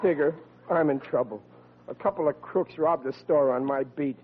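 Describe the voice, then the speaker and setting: An actor playing a hapless, whiny, excitable young policeman in an old radio comedy, blustering and stammering. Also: on the high side, normal speed, breathy edge, a faint crowd. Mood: miserable. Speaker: comic lead actor. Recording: muffled vintage radio, humming, audience behind.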